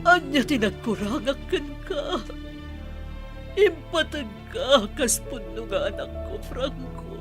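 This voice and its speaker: An anguished mother , played by a woman, reproaches her son in an Ilocano accent. Her high voice moves slowly and expressively over clear music, in radio drama style. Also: lively intonation. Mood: tearful reproach. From Philippines.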